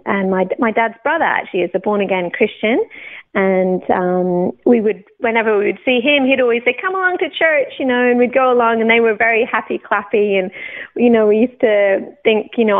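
A middle-aged woman with a British accent telling her personal story as an interview guest, conversational and reflective. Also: mid-range voice. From United Kingdom, raised in England and Scotland. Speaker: guest, worship pastor. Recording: phone-like narrow band sound.

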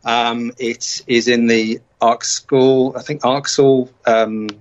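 A man being interviewed as an artist, chatting casually with a halting delivery. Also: medium pitch, flat delivery. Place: remote call from the UK. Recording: dull, muffled remote call.

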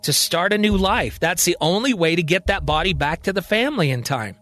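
A man's voice: high voice, expressive, a little fast, a bit bright. A male storyteller voicing a blunt, exasperated woman in charge in an audio drama. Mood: explaining.

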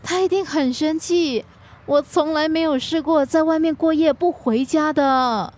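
An anxious, fragile young wife in a radio drama, with a very high voice and a slow, drawn-out delivery. She speaks Mandarin. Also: a little mellow. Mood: panicked, anxious. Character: anxious young wife, tipsy, later hungover and fretful.

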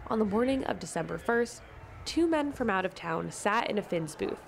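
A woman's voice: medium pitch, animated, moderate pace, vocal fry, a little hiss or hum. A woman reporter reading scripted radio feature narration in a mid-range voice.

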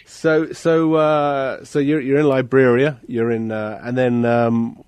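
A man's voice: medium pitch, level tone, takes it slowly, darkish tone, clear, resonant tone, no room sound. A man co-hosting a radio interview, asking friendly, rambling questions full of fillers. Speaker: radio co-host.

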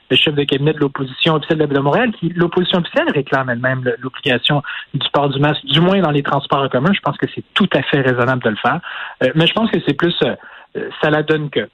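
A man speaking Quebec French as an interview guest and former political adviser, talking in a measured, hedging way with many restarts. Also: on the high side, fairly bright tone, no echo, lo-fi sound. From Portugal. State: recovering from illness. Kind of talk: phone-in news interview.

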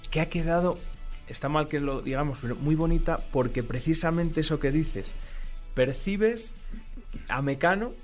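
A man's voice: a little high, normal speed, soft background music. An older man from Spain with a Spanish accent, a guest musician talking expansively and fondly in a radio interview. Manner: expansive, fond storytelling.

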